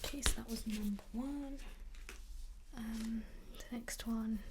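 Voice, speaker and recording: A young woman whispering in a soft-spoken ASMR chat, slightly croaky while getting over an illness, with frequent ums. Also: fairly high pitch, fairly even intonation, takes it slowly, a little hiss or hum. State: recovering from illness, at times croaky. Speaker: ASMR creator.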